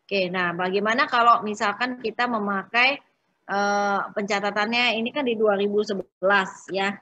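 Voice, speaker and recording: A woman working through exercises as an accounting instructor in an informal step-by-step lecture, often pausing and adding short check-in tags. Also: mid-range voice, steady tone, bright, forceful tone, slight background noise.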